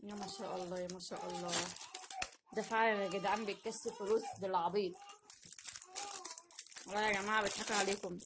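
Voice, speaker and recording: A woman with an Egyptian Arabic accent chatting casually as host of an unboxing vlog, repeating phrases for emphasis in an animated way. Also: mid-range voice, fast talker, pauses often. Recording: noisy home recording, crinkling packets.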